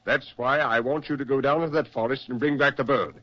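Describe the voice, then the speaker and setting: An actor playing a stern, insistent doctor who presses and commands in a 1940s radio drama style. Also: male voice, mid-range voice, steady tone, fast, natural voice. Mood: firm, persuasive. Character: stern, insistent male doctor pushing his frightened patient. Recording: dull, lo-fi 1940s radio recording.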